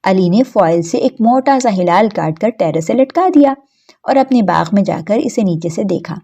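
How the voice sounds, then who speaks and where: A woman's voice: medium pitch, expressive, gravelly, dark tone. A woman storyteller reading scripted narration of a story for children.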